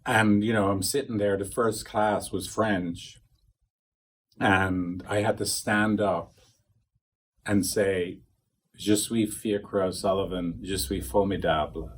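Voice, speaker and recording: A man's voice: deep voice, fairly even intonation, takes it slowly, husky. A man training couples therapists, talking casually to a small group, rambling and acting out quoted dialogue.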